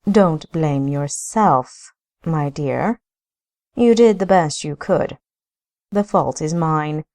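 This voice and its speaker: A woman's voice: low voice, lively intonation, slow, a little mellow, exaggerated voice. A woman voicing a brooding, self-blaming older brother and husband who talks in a ponderous, gloomy way.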